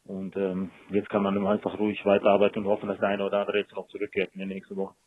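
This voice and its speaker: A man's voice: on the low side, monotone, fast, no echo. A man speaking as a football coach in a post-match interview over a telephone line. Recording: muffled, dry telephone line.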